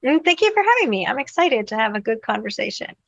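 A woman's voice: on the high side, sing-song, fast, a bit bright, no echo, lo-fi sound. Earnest middle-aged woman teacher, dry sound. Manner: earnest, thoughtful, with fillers. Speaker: middle school teacher, guest. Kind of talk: podcast interview. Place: classroom.

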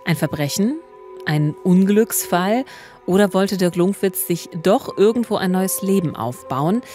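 A woman's voice: medium pitch, animated, measured pace, dark tone. A middle-aged woman narrating true crime in a conversational, scripted way at a close studio microphone. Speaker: true-crime podcast host, journalist. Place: small studio, indoors. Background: music.

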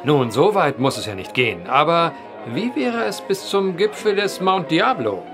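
A teasing gambler in an audio drama, unyielding and wry. His voice is a little high and moves in lively, mocking swings. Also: moderate pace, music in the background. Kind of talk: German audio drama acting.

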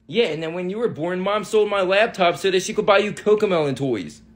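A performer voicing a laid-back older brother in a comedy skit, casual and teasing. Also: female voice, lowish voice, expressive, fairly quick, lightly acted. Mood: wry.

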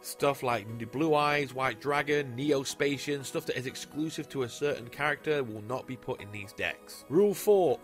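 A man hosting a casual video about building a card deck, chatty and enthusiastic as he runs through card names. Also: a little high. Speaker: YouTube host.

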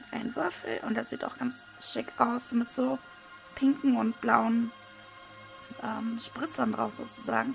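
A woman with a hoarse voice, casually commenting as she unboxes trading cards at a desk. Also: fairly high pitch, rough recording. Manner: relaxed, with frequent hesitation fillers. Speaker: card unboxing video host. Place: indoors at a desk, hands over table. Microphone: small camera-mounted microphone.

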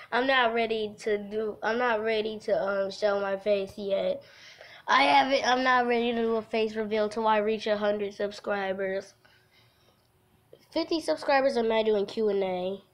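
A woman's voice: medium pitch, steady tone, a little slow. A giggly woman hosting a casual game livestream, chatting and reading aloud from a visual novel. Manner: giggly, breaks off into laughter. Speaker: livestream host.

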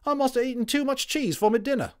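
A man's voice: extremely high pitch, quick pace, darkish tone, pitched above the performer's own voice. A man voicing a talkative Cockney First World War army medic in a storybook reading. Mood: chatty. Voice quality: chatty, rough-and-ready working-class speech.

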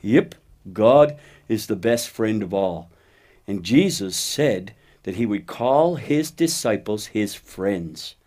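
A man voicing a steady, kindly cow who talks plainly and patiently, gently teaching, in a children's puppet show. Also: on the low side, slow. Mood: gently instructive. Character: steady, faithful cow, a wise kindly friend.

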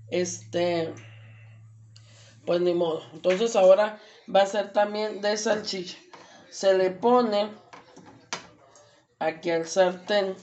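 A woman's voice: mid-range voice, a little mellow, a little hiss or hum. A woman with a Mexican accent, chatting casually through a cooking demonstration in her home kitchen. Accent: Mexican Spanish. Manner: slow, chatty, many fillers. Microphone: camera across the stove.

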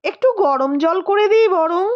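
A woman's voice: very high voice, animated, a little slow, lo-fi sound, pitched above the performer's own voice. A woman voicing a cheeky, playful young sister-in-law with a pert, teasing manner in a storybook reading.

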